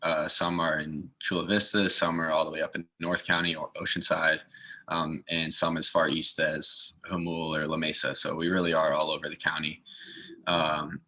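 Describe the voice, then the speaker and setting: A man's voice: rumbling low, little pitch movement, moderate pace, pushed and bright, rough recording. A man from the northeastern United States speaking as a guest in an informal interview, explaining things. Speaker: guest speaker, garden maintenance assistant.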